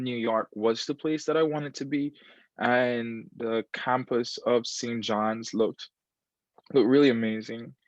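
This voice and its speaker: A man's voice: medium pitch, no room sound. A man in his twenties from Guyana, talking casually as an interview guest, repeating himself with many fillers. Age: 20s. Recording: dull lo-fi sound.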